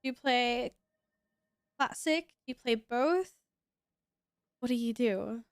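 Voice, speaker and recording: A woman's voice: on the high side, slow pace, clean tone, no echo, studio quality. Chatty sniffly woman gaming streamer. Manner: chatty, reacts to gameplay, reads chat aloud. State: sniffly, sneezing. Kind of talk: casual gaming stream chat.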